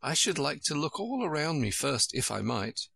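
Well-mannered girl of seven, read by a man: bright, gentle, earnestly puzzled, for a storybook. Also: on the high side, sing-song, moderate pace, creaky, breathy edge, no echo, pitched above the performer's own voice, exaggerated voice. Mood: gentle, hesitant. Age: child.

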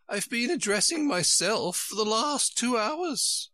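Man putting on a flustered old queen, high fretful wail, storybook, bright dry voice. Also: animated, unhurried, no room sound, exaggerated voice.

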